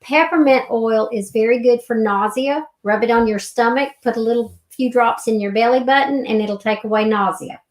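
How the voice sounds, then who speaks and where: A woman's voice: on the high side, normal speed, few pauses. Chatty woman co-host, echoey room. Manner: chatty, homey, laughs easily. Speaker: livestream co-host. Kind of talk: casual livestream chat. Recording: roomy echo.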